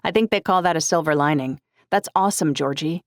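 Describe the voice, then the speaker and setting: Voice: mid-range voice, fairly quick, no echo, clean studio sound, lightly acted. A narrator voicing an earnest, troubled young woman who speaks candidly and conversationally in an audiobook. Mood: friendly.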